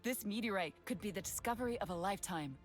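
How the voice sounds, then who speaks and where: A woman voicing an older male astronomer who is dreamy and driven, speaking with urgent excitement over faint music. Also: mid-range voice, quick pace, close to an everyday voice. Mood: awed.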